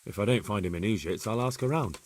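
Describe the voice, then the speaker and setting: A man's voice: fairly low pitch, quick pace, lightly acted. A man playing an earnest, deferential retainer in a video game, speaking respectfully and plainly to his superior. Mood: respectful, reporting. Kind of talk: video game cutscene acting.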